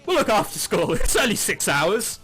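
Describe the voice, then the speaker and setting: A man hosts a visual novel playthrough in a high, very animated voice. His tone is bright and forceful, with jokey asides between the lines he reads. Soft game music runs behind him. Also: normal speed, breathy edge. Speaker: gaming video host. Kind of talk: reading a visual novel aloud with jokey commentary. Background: game background music.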